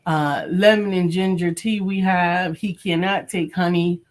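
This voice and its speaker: A woman's voice: on the low side, fairly even intonation, a little slow. A woman co-hosting a casual live-stream chat, practical and offering home remedies. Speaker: co-host and coach.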